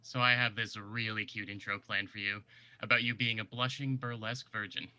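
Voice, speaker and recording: A man's voice: lowish voice, little pitch movement, brisk, bright, forceful tone. A man playing an awkward, over-eager burlesque show MC in a table read. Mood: eager, ingratiating.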